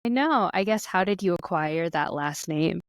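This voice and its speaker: A woman's voice: on the low side, expressive, normal speed, no echo. A woman hosting a podcast, talking in a friendly, conversational way. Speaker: podcast host, therapist. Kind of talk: podcast interview.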